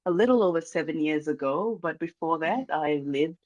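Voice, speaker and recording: A speaker from Malaysia with a Malaysian accent, giving an earnest, measured address over a video call, pausing often with um. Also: medium pitch, lively intonation, a little mellow, lo-fi sound. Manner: earnest, measured, many um pauses. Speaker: guest speaker from a reconciliation organization. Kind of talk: formal address at a launch event.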